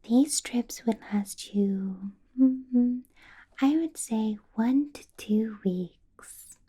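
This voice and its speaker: A woman playing a doting, possessive vampire boss in an ASMR roleplay, coaxing and questioning in a breathy, soft whisper with lingering hums. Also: on the high side, very slow, full character voice. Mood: coaxing, reassuring. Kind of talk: ASMR roleplay, personal attention.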